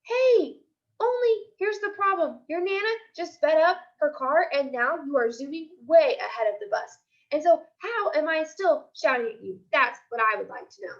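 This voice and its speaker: Woman playing an excitable, high-pitched little kindergarten girl. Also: normal speed, highs cut off. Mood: excited, shouting. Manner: childlike, dramatic, emphatic. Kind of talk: children's chapter book read aloud.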